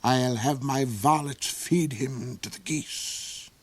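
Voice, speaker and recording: A man's voice: mid-range voice, slow, dry recording. A man doing a cold, scornful duke.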